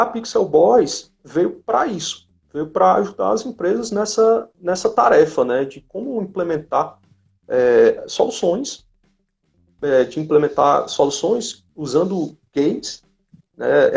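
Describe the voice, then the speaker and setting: A Brazilian man speaks in a slightly high voice with a gravelly fry at the edges. He chats casually on a live stream, restarting and repeating as he explains, and the audio is dull and lo-fi. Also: darkish tone. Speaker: company representative co-hosting a live stream. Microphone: live video call.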